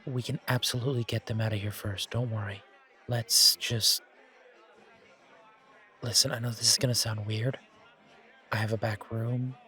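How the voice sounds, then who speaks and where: A man's voice: medium pitch, flat delivery, moderate pace, pauses often, sharp tone, lightly acted. A man playing a friendly, reassuring bartender in a casual, naturalistic audio roleplay, with a chatty and slightly hesitant delivery. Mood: concerned, reassuring. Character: friendly, reassuring male bartender at a supernatural bar. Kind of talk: casual, naturalistic one-sided roleplay acting. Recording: crowd chatter behind voice. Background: bar crowd chatter.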